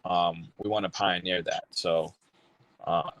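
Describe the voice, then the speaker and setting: A man's voice: low voice, flat delivery, takes it slowly, a bit bright, no room sound, dull audio. A man born in Haiti, a filmmaker guest speaking passionately over a call, with many fillers and restarts. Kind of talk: casual interview over a call.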